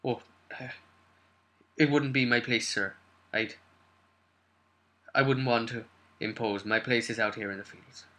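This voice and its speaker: Guy acting a humble farmhand type, reticent and halting, very deferential, comic roleplay voice. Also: mid-range voice, fairly even intonation, bright, forceful tone. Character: humble, evasive male groundskeeper, deferential farmhand.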